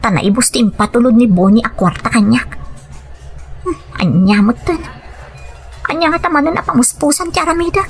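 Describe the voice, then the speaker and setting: A woman acting a high-voiced scheming Ilocano wife. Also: normal speed, pauses often, soft background music, lightly acted. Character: scheming gambling wife, coaxing and deceitful. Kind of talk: radio-style drama acting.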